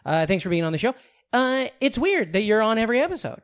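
A man's voice: sits high, very animated, fast talker. A man co-hosting a podcast, joking loosely with his co-host and interviewing a guest. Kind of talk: casual podcast banter.

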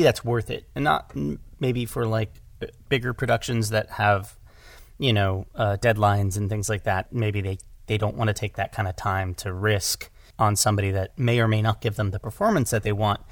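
A man in a casual conversation as a podcast guest and director, halting and rephrasing as he goes and acting out bits of imagined dialogue. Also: on the low side, no room sound, clean studio sound.

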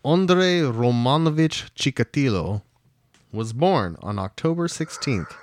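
A man's voice: medium pitch, animated, unhurried, noisy background. A man hosting a podcast in an easygoing, chatty way, chuckling along. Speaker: podcast host. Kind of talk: casual podcast chat.

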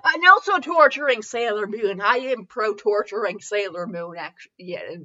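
A woman hosting a podcast with casual, humorous review chat, rambling and halting as she goes, often giggly. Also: medium pitch, expressive, sharp tone, highs cut off. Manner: giggly, frequently breaking into laughter; rambling, halting self-interrupted delivery. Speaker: podcast host.

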